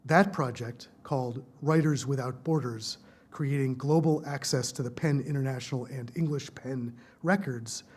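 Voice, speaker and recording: A man at a podium in a library lecture hall, reading out formal introductions for an evening talk. His voice sits at an ordinary middle pitch, and he keeps a measured, prepared delivery throughout. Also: a little mellow. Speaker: event host introducing speakers.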